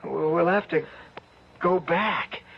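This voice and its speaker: An actor playing an excitable, bewildered man in an old-time radio drama, halting and repeating himself in astonishment. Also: fairly high pitch, creaky, heavy background noise. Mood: tentative. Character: excitable, bewildered man exploring an eerie trap. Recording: muffled old radio recording.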